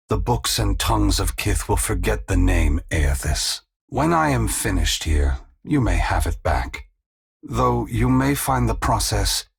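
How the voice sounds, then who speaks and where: An actor playing an ancient, solemn and compassionate sun god in a fantasy video game, speaking gravely and formally with a gravelly, husky voice. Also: male voice, sits low, heavily acted. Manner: grave, measured, formal, oracular.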